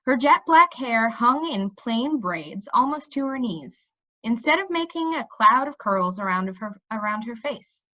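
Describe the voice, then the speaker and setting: A woman reading a children's story aloud in an expressive, animated storytelling manner. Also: on the high side, lo-fi sound. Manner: animated, pausing to check in with listeners.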